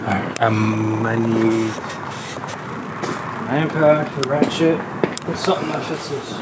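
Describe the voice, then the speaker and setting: A man's voice: mid-range voice, completely flat delivery, a little slow. Casting: young American man, casual DIY vlogger who swears freely, recorded outdoors with heavy background noise. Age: young adult. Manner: slangy, profane. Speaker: vlogger doing a DIY car project. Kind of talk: casual chat while working. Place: outdoors in a residential driveway by an open garage. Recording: clear outdoor ambient and vehicle noise.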